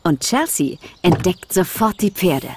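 A woman's voice: on the low side, animated, measured pace, background noise. A woman narrator reading a scripted children's story. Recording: music clearly under the narration.

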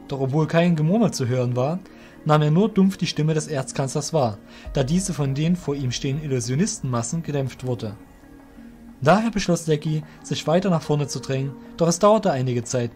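A male narrator tells a fantasy story in a scripted, measured manner. His voice sits a little high for a man, with soft music underneath. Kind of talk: scripted fantasy audio drama narration in German.

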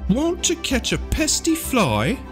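A storybook narrator voicing friendly farm animals with drawn-out calls and inviting questions. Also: sits high, huge pitch swings, heavily acted. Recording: music behind the voice.

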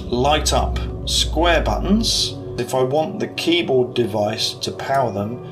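A British man in his thirties, casually walking through his home cockpit build, thinking aloud with ums as he shopping-lists parts. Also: mid-range voice. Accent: British English. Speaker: hobbyist YouTuber. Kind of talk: casual build vlog walkthrough. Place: living room at home. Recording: clear background music under voice. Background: background music.

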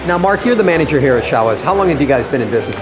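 A man's voice: a little high, expressive, racing, over music, rough recording. A man in his thirties hosting a TV show, enthusiastic and exclamatory as he interviews and tastes food in a restaurant. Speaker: TV show host. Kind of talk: upbeat TV host interview. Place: large log-cabin style restaurant, indoors.

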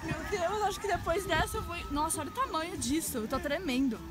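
A young woman vlogging on a handheld camera at an outdoor park, excitable and squealing when scared. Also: extremely high pitch, a little fast. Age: young adult. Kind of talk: casual vlog at a park. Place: outdoor ski park with slides and crowds. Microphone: handheld camera at arm's length. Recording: loud music and crowd noise. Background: loud music and crowd.

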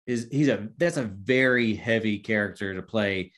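A man's voice: on the low side, normal speed, a bit bright. Chatty male interviewer on a video call. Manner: chatty, rambling with fillers like "you know". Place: home room with posters.

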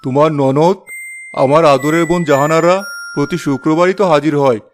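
A man's voice: a little high, brisk, slight background noise, close to an everyday voice. A man voicing a weary, mild-tempered middle-aged husband in a scripted cartoon, with a wry manner.

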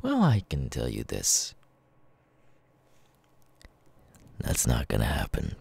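Sadistic male killer for ASMR roleplay, acted by a man: low, gravelly, taunting, with wildly swinging pitch. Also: wildly swooping pitch, a little mellow, heavily acted. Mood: smug menace. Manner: slow, taunting, drawn-out with sudden swings. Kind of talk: ASMR villain roleplay, close and menacing.

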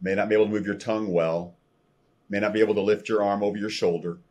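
An older American man with a very low voice and a rough, husky edge. He shares a personal story as a podcast guest, earnest and a little halting. He speaks from a room at home over a video call. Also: steady tone, a little mellow. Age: older, around 60. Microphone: video call, computer microphone at desk distance.

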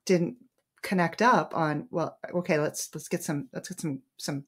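Low-voiced woman author, thoughtful. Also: slightly rough. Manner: thoughtful, halting, restarts phrases. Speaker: romance author, guest. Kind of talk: conversational interview.

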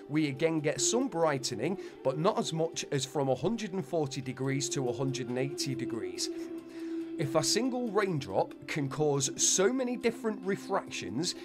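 A man reading a scripted explainer narration, with folksy, wonder-filled asides to listeners. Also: on the high side. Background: background music.

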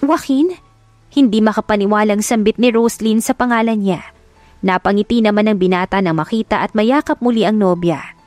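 A woman's voice: medium pitch, darkish tone, no room sound. A woman reading a scripted love story as a dramatic audiobook narrator.

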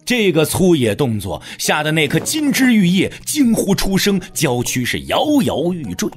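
A man's voice: on the high side, huge pitch swings, moderate pace. A man narrating a wuxia audio drama as a scripted, dramatic storyteller. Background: faint music.

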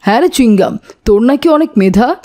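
An arrogant little rich boy from a cartoon, played by a woman, taunting and sneering. The voice sits fairly high and has a soft, dark edge. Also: lively intonation, brisk, lightly acted. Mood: mocking. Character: arrogant rich schoolboy bully, mocking a poorer classmate. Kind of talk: cartoon voice acting.

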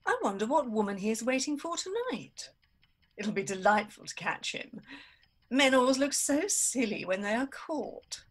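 A woman's voice: a little high, lively intonation, moderate pace. A woman playing a sly, scheming society lady in a radio play, mocking and theatrical. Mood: sly, amused.

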